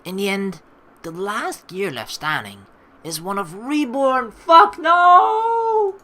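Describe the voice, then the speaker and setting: A woman playing through a game, reading the story aloud and reacting excitably with casual swearing. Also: fairly high pitch, slow. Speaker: let's play streamer reading a visual novel aloud.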